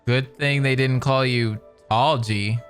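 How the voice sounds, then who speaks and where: A man's voice: mid-range voice, steady tone, slow pace, a bit bright, faint music behind. A man giving casual, joking reaction commentary over a story game. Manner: joking, sarcastic asides. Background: game audio.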